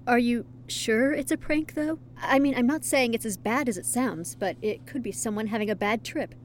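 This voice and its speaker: An actress playing a nervous young college-dropout dispatcher who gets bullied into backing down. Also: female voice, a little high, moderate pace, slight background noise, natural voice. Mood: timid. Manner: hesitant, timid, apologetic.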